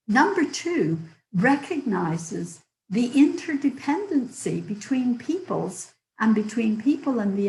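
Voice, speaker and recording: An older woman with a hoarse voice, giving an earnest public lecture with stories and the odd filler. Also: mid-range voice, darkish tone, roomy sound, poor audio. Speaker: guest lecturer.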